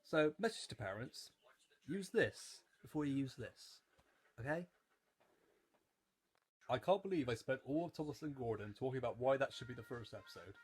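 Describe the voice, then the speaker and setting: A man's voice: medium pitch, expressive, normal speed, long pauses, husky. A twenty-year-old man giving casual rewatch commentary, rambling in stop-start phrases with repeated words and frequent chuckling. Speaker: YouTube reviewer.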